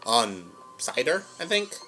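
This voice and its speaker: A man's voice: mid-range voice, big pitch swings, slow, pushed and bright. A man giving casual, playful commentary as a reaction host, chatty and given to exclaiming. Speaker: reaction host and amateur voice actor. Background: music from the audio drama.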